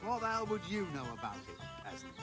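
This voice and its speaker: A man's voice: sits high, wildly swooping pitch, quick pace, music in the background. A man playing a boastful, idle tavern storyteller, telling tall tales in a theatrical, self-important manner. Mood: indignant. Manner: melodramatic, self-important, indignant when interrupted.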